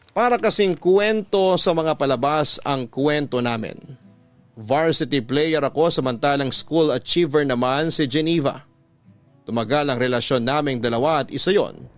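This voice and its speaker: Guy as middle aged filipino man telling his love story like a radio letter, medium pitch, reflective. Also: close to an everyday voice. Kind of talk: scripted first-person radio letter narration.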